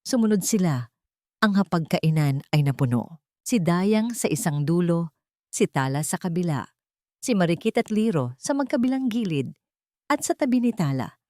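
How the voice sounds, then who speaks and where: Low-voiced woman narrating a drama. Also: sing-song, moderate pace, warm tone, no room sound, studio quality. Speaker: storyteller. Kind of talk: scripted dramatic story narration.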